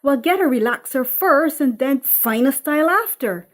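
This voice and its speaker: A woman's voice: sits high, animated, moderate pace, voice pushed up. A woman voicing a brash, teasing mother of sixty in a dramatic script reading, nagging and colloquial.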